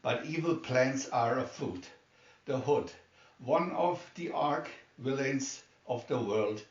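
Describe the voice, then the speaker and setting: A man reading a scripted adventure story aloud as a dramatic narrator. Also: medium pitch. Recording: muffled, echoey room, distant mic.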